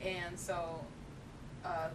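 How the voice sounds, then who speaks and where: A young woman co-hosting a geek show in casual, opinionated chat, with an animated, giggly, emphatic manner. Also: very slow. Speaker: co-host of a geek culture show. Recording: distant mic with hissy, rumbly noise.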